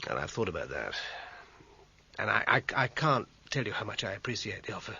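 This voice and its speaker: A man's voice: fairly low pitch, big pitch swings, fairly quick, creaky, bright, forceful tone, breathy edge, full character voice. An actor playing an agitated, faltering, weary man under suspicion of murder in a radio drama. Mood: weary, resigned. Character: distressed, weary man suspected of murdering his sister. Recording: dull radio drama recording, faint music.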